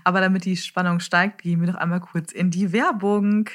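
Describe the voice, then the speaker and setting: A woman's voice: lowish voice, steady tone, brisk. A woman hosting a beauty podcast, presenting in interview style with scripted sponsor reads. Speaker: podcast host.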